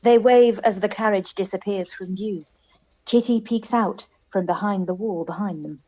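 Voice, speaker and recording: Woman narrator, medium pitch. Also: normal speed, dark tone, poor audio. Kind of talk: scripted stage-direction narration.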